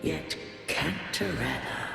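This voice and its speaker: A man voicing an ancient, godlike being in a fantasy game, solemn and weary, with archaic, stately and measured speech. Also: medium pitch, wildly swooping pitch, creaky, exaggerated voice. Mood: grave, reflective. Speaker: game character voice. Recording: cavernous echoing reverb with music behind.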